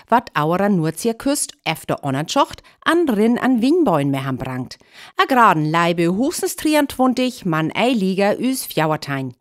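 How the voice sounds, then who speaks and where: A woman's voice: mid-range voice, lightly acted. A woman with a North Frisian accent playing a local radio presenter reading news and weather in a radio play.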